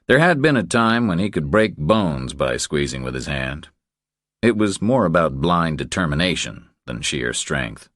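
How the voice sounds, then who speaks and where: A man narrates a thriller audiobook in an extremely low voice. His intonation moves in a lively way, and the sound is dry and close, with no room around it. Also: measured pace, no echo.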